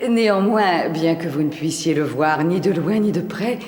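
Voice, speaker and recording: A woman's voice: deep voice, moderate pace, darkish tone, echoey room, lightly acted. A woman playing a vain, scheming middle-aged queen in a dubbed cartoon fairy tale, speaking in a formal, theatrical way. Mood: haughty, coy.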